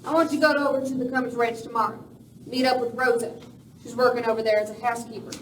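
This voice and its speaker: A woman's voice: fairly high pitch, fairly even intonation, quick pace, natural voice. A woman playing a tough, ruthless boss of Western outlaws in a radio play, commanding and curt. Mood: stern, commanding. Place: live performance in a village venue. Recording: echoey hall, distant mic, faint noise.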